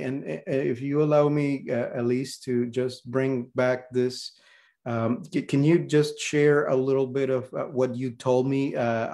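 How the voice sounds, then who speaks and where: A man's voice: mid-range voice, darkish tone. Gracious man speaking English as a second language. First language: not English. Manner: gracious, measured. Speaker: guest speaker, professor. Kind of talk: webinar answer. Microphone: video call.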